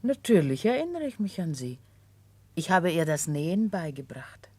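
An actress playing an older, practical housekeeper in a 1960s German radio drama, expressive and plain-spoken with a husky voice. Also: female voice, lowish voice, normal speed, no echo. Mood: surprised. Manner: expressive, matter-of-fact, motherly reproving.

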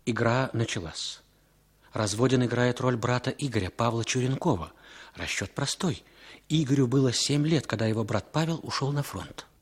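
A man narrating stage directions in a calm, matter-of-fact way for a 1960s radio drama. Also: mid-range voice, normal speed, breathy edge.

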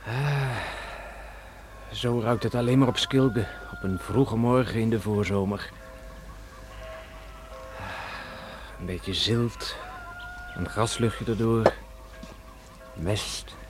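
A man's voice: fairly low pitch, slow, long pauses, music in the background. A man acting in a radio drama as an easygoing, cheeky grown son back from sea, with folksy, soothing talk. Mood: content. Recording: noisy old radio play recording.

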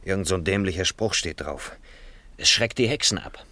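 A man's voice: low-pitched, normal speed, pushed and bright, no echo. An actor playing a dry, matter-of-fact village garage man in a German radio play, speaking tersely. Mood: wary, explaining. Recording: noisy vintage radio drama recording with clicks.

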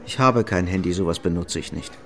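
An actor playing a reserved, evasive architect in a radio drama, talking in an understated, reassuring way. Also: male voice, low voice, brisk. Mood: reserved, friendly.

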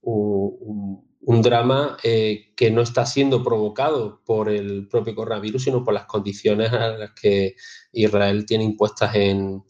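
A man's voice: fairly low pitch, steady tone, measured pace, darkish tone. A man giving explanatory commentary as a radio contributor. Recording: slightly dull, lo-fi remote audio.

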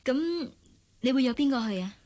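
High-voiced, coy young office woman. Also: animated, normal speed, mellow. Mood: coyly probing. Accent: Hong Kong Cantonese. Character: young office woman, choosy, sweetly teasing with suitors. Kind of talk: radio drama acting.

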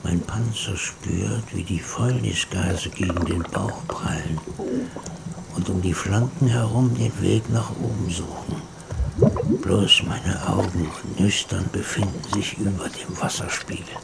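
A gravelly, whispering swamp reptile. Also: male voice, deep voice, exaggerated voice. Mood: menacing calm. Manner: slow, hushed, hypnotic. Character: lurking swamp reptile, primal brainstem, patient and menacing. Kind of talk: radio drama acting. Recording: hissy tape recording.

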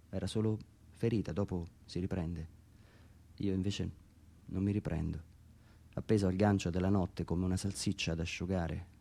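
Very deep, mellow man reading dramatically. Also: vocal fry. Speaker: writer reading own text aloud. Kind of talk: literary monologue reading for radio theatre.

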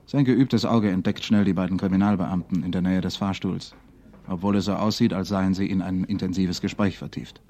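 Low, mellow 1950s radio narrator. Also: male voice, steady tone. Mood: calm. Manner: measured, scene-setting. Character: calm, observant male storyteller of a crime drama. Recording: 1950s mono radio recording.

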